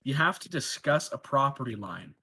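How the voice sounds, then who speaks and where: A man's voice: mid-range voice, very animated, moderate pace, pushed and bright, breathy edge. A man speaking as a candidate in a casual roleplay debate, making his points in long, rambling sentences full of filler words. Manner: rambling, filler-heavy argument.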